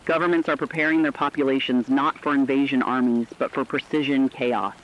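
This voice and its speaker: A man's voice: medium pitch, steady tone, lightly acted. Dull lo-fi, sober man as a radio correspondent. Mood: sober, foreboding. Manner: serious, deliberate, foreboding. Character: sober news correspondent analysing a looming war. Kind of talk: scripted emergency broadcast analysis. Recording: dull lo-fi radio sound, faint noise.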